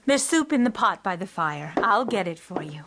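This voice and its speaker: A woman playing a devout, anxious, gentle young wife with an earnest, tender manner in a children's audio drama. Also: mid-range voice, sing-song, moderate pace, background noise.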